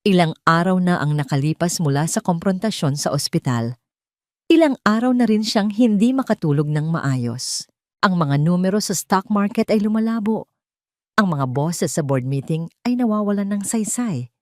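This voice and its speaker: A woman's voice: lowish voice, animated, normal speed, a little mellow, dry recording, studio quality. A woman with a Filipino accent reading a scripted dramatic story as its narrator. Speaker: narrator of audio drama stories.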